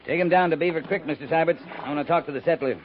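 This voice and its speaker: An American actor playing a terse, probing cavalry captain in a 1950s western radio drama, with a dry, clipped manner. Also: male voice, a little high, very fast, close to an everyday voice. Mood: firm, questioning. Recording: noisy, tinny 1950s radio recording.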